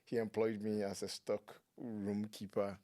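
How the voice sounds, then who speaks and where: Guest: man, 40s, lower register, relaxed storyteller. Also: unhurried. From Ghana. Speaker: guest, clinician. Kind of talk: storytelling interview talk.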